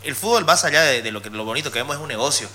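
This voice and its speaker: A man from Bolivia giving football analysis in a casual talk show, explaining with quick restarts. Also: medium pitch, lively intonation, pushed and bright. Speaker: football pundit.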